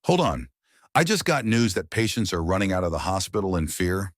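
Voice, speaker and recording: Guy doing news anchor, low voice, really dry close sound. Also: sing-song, a little fast, no echo. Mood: newsy, concerned. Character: male news anchor covering an outbreak. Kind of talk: scripted news presenting.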